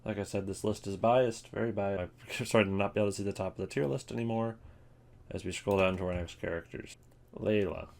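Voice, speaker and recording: Low-voiced man, casual and hesitant. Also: brisk, gravelly, a little mellow. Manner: informal, hesitant, thinking aloud. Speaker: host ranking game voice lines. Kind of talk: casual commentary on a tier list.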